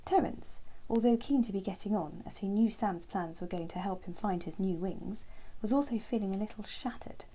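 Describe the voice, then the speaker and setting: Mellow, breathy woman reading bedtime story. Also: medium pitch, normal speed, hardly any pauses, poor audio. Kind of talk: gentle bedtime story narration, scripted.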